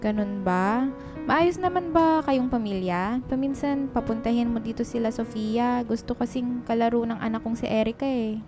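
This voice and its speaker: A woman's voice: fairly high pitch, moderate pace, over music, lightly acted. A woman playing a friendly, inquisitive lady employer in a radio drama. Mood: friendly, curious. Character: friendly, chatty well-off woman employer and mother.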